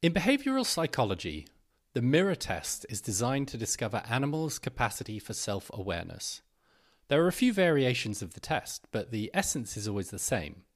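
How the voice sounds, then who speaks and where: A man's voice: mid-range voice, wildly swooping pitch, brisk. A man hosting a podcast, reading scripted narration and an article aloud.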